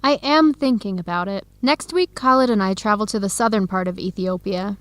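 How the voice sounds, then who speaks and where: A woman playing an earnest, heartbroken young American researcher in a radio drama, speaking clearly and conversationally. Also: mid-range voice, brisk, fairly bright tone, no echo, lightly acted. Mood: pensive. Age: young adult. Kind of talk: scripted radio drama dialogue.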